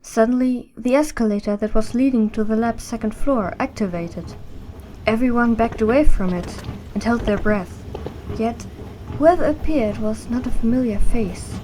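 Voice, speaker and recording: Fairly high-voiced woman narrator. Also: moderate pace, dark tone, a little hiss or hum, poor audio. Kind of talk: scripted audio drama narration.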